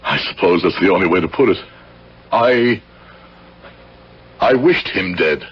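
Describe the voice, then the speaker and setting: An actor playing a guilt-ridden, unwell man confessing, husky and halting, in a radio drama. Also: sits low, animated, exaggerated voice. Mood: guilty, strained. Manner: halting, intense, insistent. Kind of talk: 1970s radio drama acting. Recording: dull radio recording.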